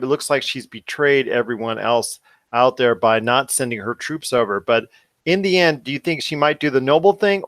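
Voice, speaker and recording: A man's voice: mid-range voice, a bit bright, no echo, studio quality. A man hosting a podcast in a casual interview style, with wordy, rambling phrasing. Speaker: podcast host.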